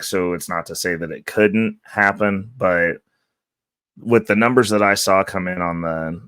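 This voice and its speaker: A man hosting a casual vlog, hoarse from losing his voice, talking in an upbeat, loose, rambling way. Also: low voice, fairly bright tone, dry recording, clean studio sound. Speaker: channel host. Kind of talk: casual market-update vlog.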